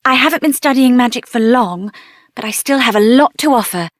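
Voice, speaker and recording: Game heroine, played by a woman: young female mage, high and lively voice, assertive, sassy, dry close recording. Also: fairly quick, no room sound, close to an everyday voice. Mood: earnest, determined. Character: spirited, headstrong young female mage adventurer. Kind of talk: video game character voice acting.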